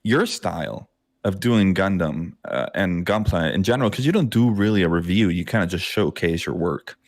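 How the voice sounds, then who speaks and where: A man with a fairly low voice hosts a casual hobby podcast. He speaks in an easygoing, affirming way, often restarting his sentences. The recording is dry and close. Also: animated, normal speed, no echo. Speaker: podcast host.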